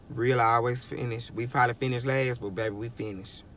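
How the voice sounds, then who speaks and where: A man's voice: mid-range voice, steady tone, a little fast, a bit bright, slight background noise. A man in his twenties giving a rambling, emphatic motivational monologue, often chuckling and restarting sentences. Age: 20s. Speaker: vlogger.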